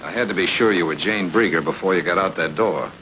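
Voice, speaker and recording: A man's voice: low voice, fast talker, noisy background, lightly acted. A man playing a sardonic, probing private eye in a film noir radio drama, terse and wry. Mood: needling.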